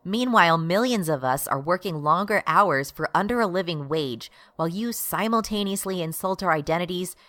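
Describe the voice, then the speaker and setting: A woman's voice: lowish voice, expressive, moderate pace, few pauses, a bit bright, no echo. A woman acting as an exasperated, righteous progressive activist in a satirical radio drama.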